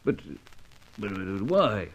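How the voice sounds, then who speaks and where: A husky, fretful gentleman. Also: lowish voice, big pitch swings, slow pace, heavily acted. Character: despairing, fretful upper-class British gentleman spymaster. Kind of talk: old-time radio serial acting. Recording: muffled old radio recording.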